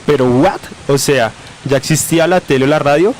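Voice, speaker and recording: A man's voice: fairly high pitch, normal speed, natural voice. Whiny, curious young Colombian city grandson played by a man, English-peppered slang, radio drama, light storm noise. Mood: naive curiosity. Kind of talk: amateur radio drama acting.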